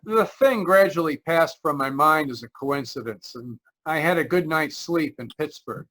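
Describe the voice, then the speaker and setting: Man acting a higher-voiced, uneasy motorist in radio mystery. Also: normal speed, fairly bright tone, poor audio. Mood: haunted, brooding. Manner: hesitant, dramatic storytelling with anxious stammers. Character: uneasy male motorist recounting an eerie road trip. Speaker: actor, lead narrator. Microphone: remote video call.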